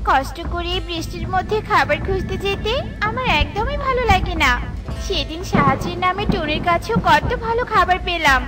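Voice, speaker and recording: Shrill, lazy, greedy lady crow. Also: animated, a little fast, loud music behind the voice, over a noisy crowd. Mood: smug. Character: lazy, selfish, greedy female crow neighbour. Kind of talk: Bengali cartoon voice acting.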